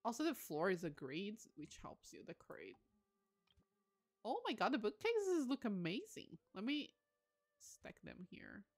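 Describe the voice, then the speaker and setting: A woman hosts a casual gaming livestream with a middle-pitched, highly expressive voice. She muses aloud as she plays, breaking into enthusiastic exclamations, and her intonation moves up and down freely. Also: unhurried. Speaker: livestream host. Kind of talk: casual game commentary.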